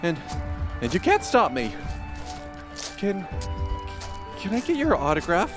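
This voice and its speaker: An actor playing an excitable, star-struck male guard in an audio drama, stammering and gushing. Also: high voice, slow pace, music in the background, full character voice. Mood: giddy, nervous.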